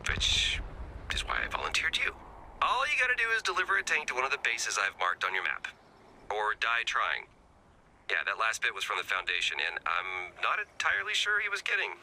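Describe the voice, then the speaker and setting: A wisecracking former spy in a video game, laid-back and a little scheming. His pitch swoops all over as he rambles in wry, slangy asides. There is clear noise behind him. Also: very animated, a little fast, pushed and bright, full character voice, poor audio. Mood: wry, upbeat. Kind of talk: scripted game dialogue, casual chatty.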